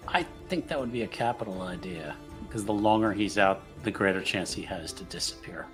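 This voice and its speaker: A man's voice: fairly low pitch, animated, brisk, a bit bright, faint music behind. A man chatting casually as a player in a tabletop roleplaying game.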